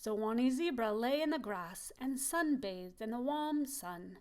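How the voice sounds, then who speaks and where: High-voiced woman reading a children's story. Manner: expressive, slowing down for emphasis. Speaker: storybook reader. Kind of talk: children's story read-aloud.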